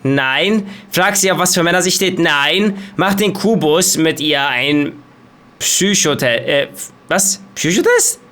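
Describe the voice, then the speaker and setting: A man's voice: a little high, sharp tone. A man commenting playfully on a game while reading out the choices. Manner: quick, joking, reads choices aloud. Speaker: gaming YouTuber. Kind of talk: let's play commentary.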